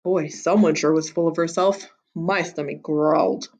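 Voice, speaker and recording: A woman's voice: deep voice, steady tone, normal speed, darkish tone. A woman voicing a sly, hungry storybook wolf who narrates his tale to children in a wry, theatrical, confiding way. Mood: hungry, scheming.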